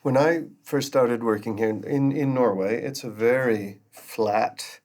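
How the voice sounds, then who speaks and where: A man's voice: medium pitch, slow, darkish tone. A man speaking as a guest in a casual panel conversation, explaining his experience and often repeating words as he goes. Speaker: podcast guest.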